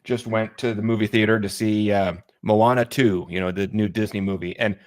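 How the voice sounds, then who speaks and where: A man hosting a casual interview, agreeing with his guest in a halting way with repeated words. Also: fairly low pitch, steady tone. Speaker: talk show host.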